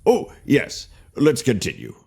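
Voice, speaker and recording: A man playing a kindly older storyteller, narrating a video game tale in an expressive, gentle way. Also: mid-range voice, unhurried, vocal fry, full character voice. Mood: gentle, reassuring. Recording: long reverb, faint music behind.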